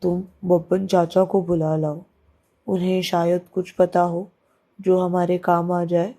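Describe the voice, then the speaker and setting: A woman's voice: on the low side, flat delivery, takes it slowly, dark tone, pitched below the performer's own voice. A woman voicing a practical, earnest young boy in a children's cartoon story.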